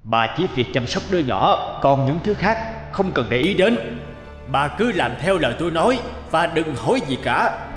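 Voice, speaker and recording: An actor voicing a stern, secretive husband in a cartoon fairy tale, commanding and insistent. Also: male voice, on the high side, big pitch swings, moderate pace. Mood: firm. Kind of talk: animated fairy-tale dubbing. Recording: background music under the voice.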